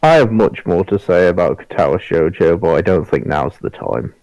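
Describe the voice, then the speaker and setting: A man's voice: low-pitched, lively intonation, normal speed, darkish tone, dry recording, highs cut off. A man bantering casually and bluntly as a panelist on a podcast.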